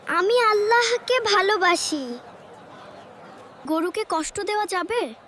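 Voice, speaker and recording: A woman's voice: very high-pitched, expressive, takes it slowly, fairly bright tone, a little hiss or hum, full character voice. A woman voicing an eager, curious and devout little boy in a children's educational cartoon. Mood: curious, earnest.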